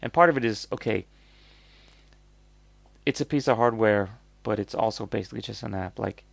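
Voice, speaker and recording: Low-voiced man with creaky delivery. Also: moderate pace, no echo. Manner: hesitant, thinking aloud, hedging. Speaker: host reviewing crowdfunding projects. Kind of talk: casual off-the-cuff commentary.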